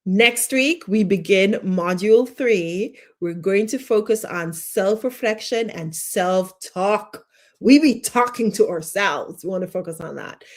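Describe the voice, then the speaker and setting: A woman coach, leading a live online class and encouraging her viewers warmly. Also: mid-range voice, measured pace. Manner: encouraging, repeats short questions, reads out viewer comments. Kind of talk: live-streamed class, talking to viewers in chat.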